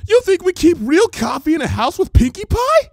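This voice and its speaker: A man voicing an irritable, caffeine-starved female unicorn in a cartoon fan story, swinging between low menace and shrieking outrage. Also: very animated, moderate pace, dry recording, clean studio sound, heavily acted.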